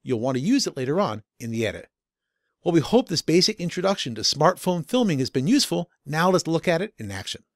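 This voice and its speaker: A man's voice: a little high, sing-song, fast, dry recording, studio quality. A man narrating a scripted instructional video as the instructor.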